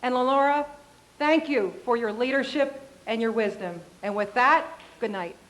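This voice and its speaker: A woman's voice: a little high, moderate pace, heavy background noise. A woman giving a short, rousing political speech at a party event, speaking directly to the crowd.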